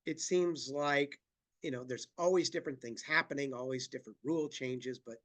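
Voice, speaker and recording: A man presenting a marketing webinar while fighting a cold, sounding nasal and talking haltingly with many restarts. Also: mid-range voice, a bit bright. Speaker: company president giving a presentation.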